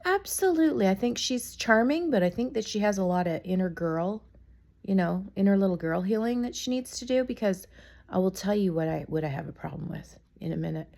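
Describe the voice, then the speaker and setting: A woman with a raspy voice from being sick, chatting casually to camera in a rambling, opinionated gossip vlog. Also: mid-range voice, expressive, a little fast, a little mellow. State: sick, raspy from a cold, coughing. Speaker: vlogger.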